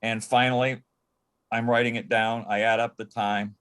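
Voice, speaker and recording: A man speaks as a panel guest, sharing stories from his time as an assistant. His delivery is halting and conversational, with phrases doubled and sentences begun again. His pitch sits in the middle range for a man. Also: level tone, a bit bright, clear, resonant tone. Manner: halting, repeats words and restarts sentences often. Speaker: panel guest, former personal assistant to an entertainer. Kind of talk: anecdotal storytelling in a panel interview.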